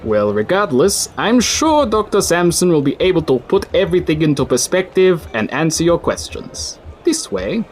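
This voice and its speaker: A man's voice: a little high, sing-song, moderate pace, hardly any pauses, a little hiss or hum. A man playing an overly polite sinister coordinator. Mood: friendly. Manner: courteous, apologetic, menacing. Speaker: coordinator of a recycling facility. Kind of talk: audio drama acting.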